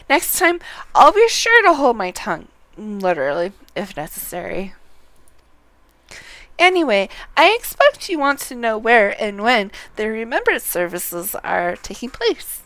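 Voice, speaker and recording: A woman reads out a polite, chatty quest-giver from a fantasy game. The voice is clean and smooth, with slow, careful pacing. The phrasing is formal and a little fussy. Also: fairly high pitch, a bit bright, no room sound, heavily acted. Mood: apologetic. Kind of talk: reading game dialogue aloud.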